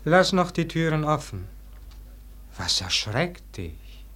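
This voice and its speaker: An actor playing a weary, disillusioned nobleman in a classic German radio verse drama, declaiming solemnly and theatrically, at times falling to hushed tones. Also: a little high, big pitch swings, slow pace, slight background noise, heavily acted. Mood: tired, curt. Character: weary, disillusioned nobleman brooding over an unlived life.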